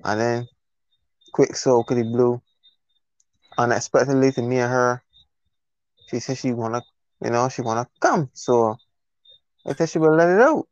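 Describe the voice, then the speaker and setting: A man's voice: medium pitch, expressive, normal speed, long pauses, no echo. A man from Barbados with a Barbadian accent, a guest telling stories in an easygoing, laid-back way. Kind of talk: casual podcast chat. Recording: dry, dull remote line.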